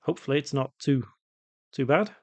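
A man narrating an unscripted software tutorial, casually thinking aloud as he demonstrates each step. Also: mid-range voice, animated, fairly quick, breathy edge, dry recording. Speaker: tutorial presenter.

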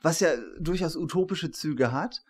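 A man's voice: a little high, normal speed. A man in his forties hosting an academic interview, putting long discursive questions with many qualifications, at a desk microphone indoors. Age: 40s. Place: small attic room, indoors. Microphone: studio microphone on desk.

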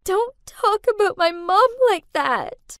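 Woman acting a whimpering, very high-pitched young woman. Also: lively intonation, slow pace, a bit bright, no echo, studio quality, heavily acted. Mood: tearful, hurt. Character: bewildered, tearful young woman, the accused friend.

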